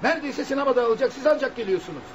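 Anxious accomplice for radio play, scolding, rapid delivery, faint noise. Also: male voice, extremely high pitch, expressive, highs cut off. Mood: agitated, scolding. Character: nervous, grumbling male cinema usher, reluctant accomplice. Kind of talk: radio drama acting.

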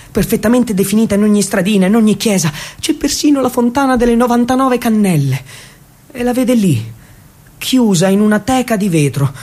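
A man performing theatrical scripted narration as a solo storytelling actor, dramatic and with deliberate pauses. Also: high-pitched.

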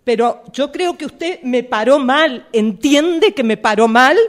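Woman acting an indignant, high-voiced female driver. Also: expressive, slow pace. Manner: protesting, insistent, curtly polite. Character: indignant, argumentative female driver stopped by an officer. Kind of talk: radio theatre workshop monologue.

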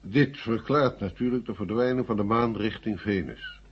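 A man playing a polite American guest in a 1970 Dutch radio drama, courteous and measured in his speech. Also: lowish voice, natural voice. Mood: astonished. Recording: muffled, noisy 1970 radio recording.

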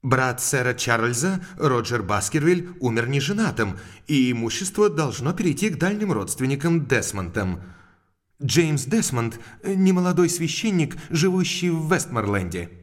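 Guy playing a polite country doctor, explains stuff formally w little hesitations, animated pitch, audio drama. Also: normal speed, lightly acted. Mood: earnest, explanatory. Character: mild, precise country doctor, respectful and informative.

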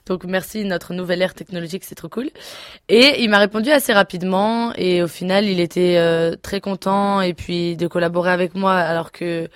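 A woman in her twenties, a singer answering interview questions casually, pausing often with fillers and restarts. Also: fairly low pitch, no room sound, clean studio sound. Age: 20s. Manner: hesitant, many fillers and restarts. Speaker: singer guest.

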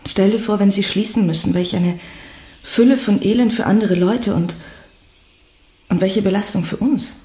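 Woman playing anxious young wife, dark mellow tone, weary, echoing room, radio drama. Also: medium pitch, moderate pace, long pauses, close to an everyday voice. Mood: worried. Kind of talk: German radio drama acting. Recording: roomy, echoing sound.

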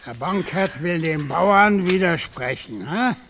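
Mean bossy farmer, austrian dialect, high strained pitch, really noisy farmyard recording. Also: male voice, expressive, takes it slowly, full character voice. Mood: menacing. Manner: commanding, menacing dialect speech. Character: harsh, brutal, pious farmer and foster father. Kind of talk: radio play acting.

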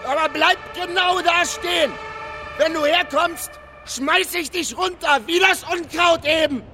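An actor playing a jilted, defiant young man, shouting in bursts in a radio drama. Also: very high voice, unhurried, slight background noise, full character voice. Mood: defiant, threatening.

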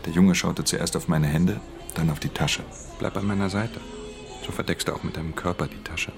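A weary, brooding thief in a radio drama. His voice is rock-bottom low and full of gravelly fry, and music plays clearly behind it. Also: normal speed. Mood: matter-of-fact, then gruff, wary. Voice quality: very deep, gravelly, breathy in hushed passages. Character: brooding, weary male thief narrating his own story. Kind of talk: radio drama first-person narration.

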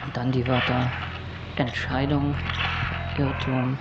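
A man playing a haunted, disoriented narrator of a surreal radio drama, gravelly and speaking in deliberate, broken phrases. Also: medium pitch, little pitch movement, lightly acted. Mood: dazed, grim. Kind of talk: surreal first-person radio drama monologue. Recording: dull lo-fi recording, loud background noise.

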